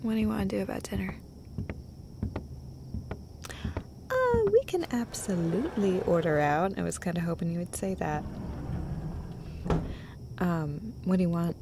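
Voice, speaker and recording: Female performer as affectionate girlfriend for intimate audio roleplay: breathy, gravelly edges, tender casual delivery, some background noise. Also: fairly low pitch, sing-song, normal speed. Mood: playful, soft. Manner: tender, casual, rambling, swears playfully. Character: affectionate, playful, chatty girlfriend comforting her partner.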